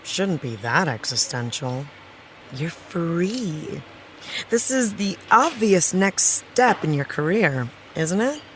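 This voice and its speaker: A woman's voice: on the low side, big pitch swings, takes it slowly, a little hiss or hum. A woman playing a wry, candid actress and supportive friend in an audio drama, with dry, teasing, naturalistic delivery. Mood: encouraging, surprised.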